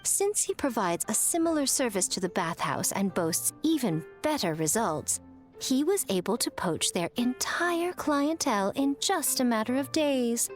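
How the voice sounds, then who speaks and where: Sly high-voiced teasing shrine maiden. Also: female voice, sing-song, a little slow, faint music behind. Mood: mock sympathy. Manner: arch, teasing, mock-innocent. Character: sly, teasing fox-spirit shrine maiden, elegant woman. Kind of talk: anime-style game voice acting.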